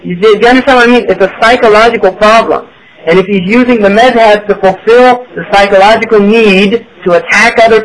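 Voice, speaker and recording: A man's voice: high voice, poor audio. A man giving a religious lecture to an audience, asking rhetorical questions and checking in with "right?". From United States. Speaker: Islamic teacher.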